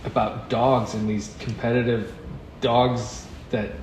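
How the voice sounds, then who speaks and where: A man's voice: medium pitch, little pitch movement, slow, a little mellow. A Canadian man in his fifties, a native French speaker, talking in a laid-back way with dry humor in a casual interview. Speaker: actor, guest. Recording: dull lo-fi sound with clear background noise.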